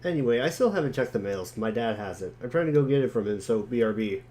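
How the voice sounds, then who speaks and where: A man playing an excitable, goofy, teasing boy in a dramatic webcomic reading, with a cheeky, animated delivery. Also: medium pitch, fast, close to an everyday voice. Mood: cheeky, defensive.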